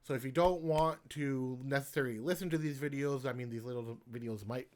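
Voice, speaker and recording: A man's voice: mid-range voice, brisk, a little mellow. A man hosting his own poetry channel, reading his poems aloud in a casual way and starting lines over when he stumbles.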